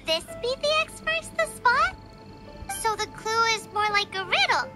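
A tiny fairy girl with an extremely high, squeaky voice that swoops around. She is a cutesy, excitable game sidekick, with music behind her. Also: moderate pace. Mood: chatty. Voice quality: squeaky, childlike. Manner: cutesy, exclaiming, speaks of herself by name. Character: small excitable childlike fairy companion, female. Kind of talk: video game voice acting.

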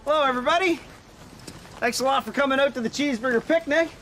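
An actor playing a pompous, self-important middle-aged park supervisor, giving blustery speeches outdoors at a trailer park picnic. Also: male voice, very high voice, fast talker, fairly bright tone, slight background noise, rough recording. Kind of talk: mockumentary comedy acting.

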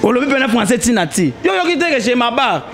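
A man's voice: very high-pitched, fast talker. A young man in a heated casual debate, talking animatedly and pressing his points, recorded on a handheld mic in a small indoor studio. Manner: animated, argues with rising emphasis, mixes French and Lingala. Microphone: handheld mic held toward him.

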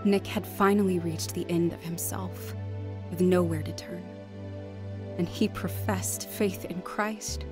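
A woman's voice: fairly low pitch, fairly even intonation, unhurried, over music, close to an everyday voice. An actress playing an earnest, devout wife in a radio drama, acting and narrating her heartfelt testimony. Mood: reflective narration.

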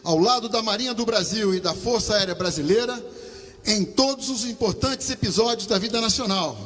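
A man with a Brazilian accent giving a slow, solemn ceremonial speech as an army commander at an outdoor podium microphone. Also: high-pitched, a bit bright, big-room sound. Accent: Brazilian Portuguese. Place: outdoor parade-ground stand.

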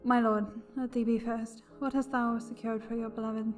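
A woman's voice: fairly high pitch, little pitch movement, normal speed, soft background music, lightly acted. A woman playing a solemn officiant at a fae wedding in a fantasy audio roleplay, speaking in formal, archaic ceremonial style. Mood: solemn, welcoming.

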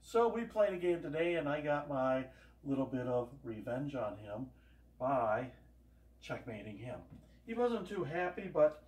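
A man's voice: mid-range voice, very animated, unhurried, a little mellow. Older man, rambling homespun storyteller, cooking at home, distant camera mic, roomy echo. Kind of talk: casual cooking demonstration. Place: home kitchen, indoors. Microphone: camera across the room. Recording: echoey kitchen, voice from far off.

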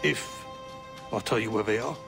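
An actor playing a guarded, sly assassin bureau chief in a video game, speaking with insinuating pauses. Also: male voice, fairly low pitch, vocal fry, over music. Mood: wary, scheming. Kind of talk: video game drama acting.

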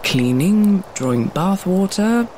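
An actor playing a proud, defensive recruit in a fantasy audio drama, speaking in a stiff, formal way. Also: male voice, high voice, big pitch swings, takes it slowly, a little mellow, slight background noise, heavily acted. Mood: polite, guarded. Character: proud, defensive male recruit from scorned people.

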